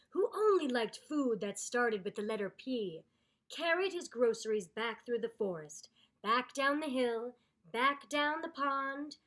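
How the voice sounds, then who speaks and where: A woman reading aloud to children in an expressive storytelling style, with deliberate, dramatic emphasis. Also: on the high side. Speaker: storybook reader.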